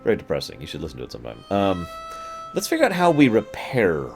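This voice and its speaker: Male streamer, low voice, lively swings in pitch, chatty running commentary, faint music underneath. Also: quick pace, creaky. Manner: chatty, talks to chat, swings into mock outbursts. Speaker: game streamer. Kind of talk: casual live gameplay commentary.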